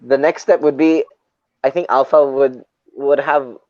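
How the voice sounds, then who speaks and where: A man moderating a webinar discussion in a relaxed, friendly way over a video call. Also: medium pitch, moderate pace, no room sound, muffled recording.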